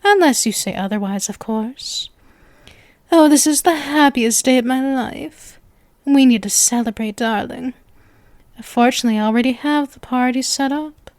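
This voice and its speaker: A woman's voice: sits high, unhurried, a little mellow, no echo. A woman voicing a sweet, lovestruck, clingy witch girlfriend in an ASMR roleplay, speaking to the listener in a coy, nervous, gushing and intimate manner. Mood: overjoyed, adoring. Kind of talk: ASMR roleplay, scripted acting addressed to listener.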